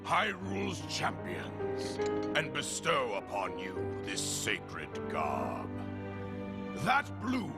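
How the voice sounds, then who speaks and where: Regal, stern old king, formal and grand, slow delivery with wide swells, game cutscene. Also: medium pitch, huge pitch swings, gravelly, exaggerated voice. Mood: solemn, grand. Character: solemn aging king, regal and stern father. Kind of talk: ceremonial speech in game cutscene. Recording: loud music behind the voice.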